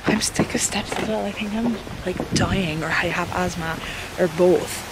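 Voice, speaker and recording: A woman's voice: lowish voice, moderate pace, very noisy. A young woman in her twenties chatting casually to a handheld camera on a road trip, swearing freely and poking fun at herself. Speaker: vlogger. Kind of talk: casual travel vlog. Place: outdoors on a coastal mountain road trip. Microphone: handheld camera at arm's length.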